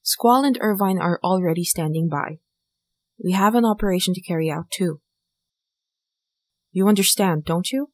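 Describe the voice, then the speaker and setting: A woman's voice: fairly low pitch, normal speed, long pauses, no room sound, studio quality, lightly acted. A woman voicing a strict, responsible young military instructor in a video game fan dub. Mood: stern, impatient.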